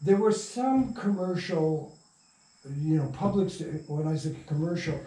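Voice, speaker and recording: A man's voice: a little high, expressive, mellow. Older man reminiscing, roomy distant recording. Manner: halting, rambling storytelling with trailing thoughts. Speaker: interview guest, radio play producer. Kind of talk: casual reminiscing interview. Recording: roomy, distant mic, faint noise.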